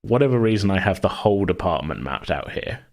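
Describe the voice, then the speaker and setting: A man's voice: low voice, very animated, a little fast, gravelly, darkish tone. A man who hosts a podcast and runs games, chatting casually and rambling as he thinks aloud. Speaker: podcast host and game master. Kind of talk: casual chat.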